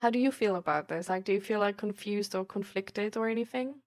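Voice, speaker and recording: Casual woman with a mid-pitched voice. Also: a little fast. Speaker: listener asking questions. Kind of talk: casual chat.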